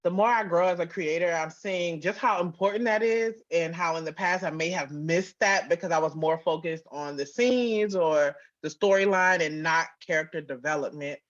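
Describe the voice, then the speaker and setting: A woman's voice: lowish voice, normal speed, few pauses. Woman chatting casually, slightly dull audio. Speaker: interview guest, writer and audio drama producer. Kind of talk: casual conversational interview answers.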